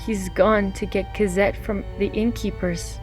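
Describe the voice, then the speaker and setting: Woman playing slow, wistful sick young mother. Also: mid-range voice, steady tone, a little mellow, faint music behind, natural voice. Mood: wistful, hopeful. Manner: wistful, tender, trusting. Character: frail, sick young mother longing for her child. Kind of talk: radio drama acting.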